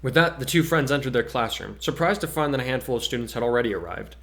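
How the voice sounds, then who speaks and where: A man's voice: medium pitch, lively intonation, quick pace, fairly bright tone. A man co-hosting and reading his own fan fiction, moving between casual chat and dramatic narration. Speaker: co-host and fan fiction author.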